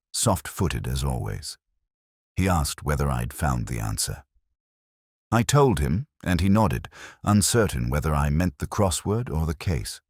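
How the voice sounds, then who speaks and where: A man narrating a first-person detective story in a measured, solemn, literary manner. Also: rumbling low, dry recording, clean studio sound.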